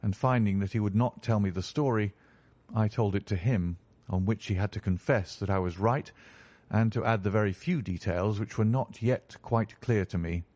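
A man reading a story, voicing a stern, calm male detective in a low, measured, authoritative tone. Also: no echo, natural voice.

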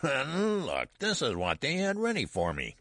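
A man's voice: mid-range voice, wildly swooping pitch, measured pace, a bit bright, dry recording, studio quality, voice pushed up, exaggerated voice. A man voicing a querulous, complaining old man in a storybook, with a cracked, whining, ancient voice. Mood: demanding. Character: querulous old man who is a newborn baby. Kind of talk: audiobook character voice.

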